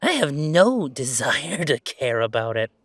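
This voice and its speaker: Guy playing a chill young werewolf gamer, takes his time, kinda wry and halting, super dry close sound. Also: medium pitch, sing-song, a bit bright, breathy edge, no echo, exaggerated voice. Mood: easygoing, wry. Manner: casual, halting, wry, conversational. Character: laid-back young male werewolf gamer, wry and chatty. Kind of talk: intimate roleplay audio, naturalistic one-sided conversation.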